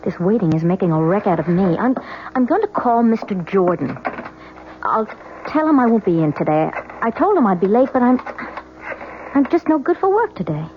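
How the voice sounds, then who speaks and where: A woman's voice: medium pitch, sing-song, normal speed, a little hiss or hum, natural voice. An actress playing a fretful, impatient young wife in her twenties in a 1970s radio drama. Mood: anxious, fretful. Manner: tense, fretful, hesitant. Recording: muffled, humming old radio broadcast recording.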